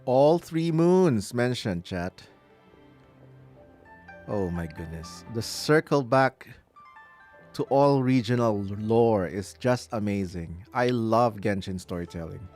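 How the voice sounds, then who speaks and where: A man's voice: on the low side, animated, slow pace, a little mellow, soft background music. A man giving live playthrough commentary as a gaming streamer, musing aloud and breaking into exclamations.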